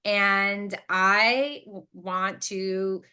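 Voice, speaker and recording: A woman's voice: medium pitch, steady tone, very slow. A woman in her late thirties hosting a podcast, talking casually and reflectively, working through her thoughts aloud at length. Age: late 30s. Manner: self-reflective, thinking out loud, wordy. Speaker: podcast host.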